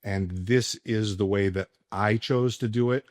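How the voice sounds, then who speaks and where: A man hosting a casual sports podcast, speaking emphatically with deliberate pauses. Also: lowish voice, fairly even intonation, no echo, studio quality. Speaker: podcast host. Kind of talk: casual sports podcast talk and personal ad read.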